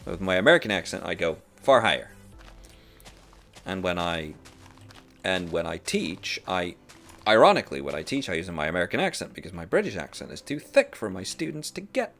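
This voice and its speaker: A man's voice: low voice, sing-song, fairly quick, a bit bright. A Norwegian man with a sore throat, chatting casually and rambling while streaming a game, with faint music behind him. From rural Western Norway. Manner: chatty, rambling, many restarts. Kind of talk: casual chat while gaming.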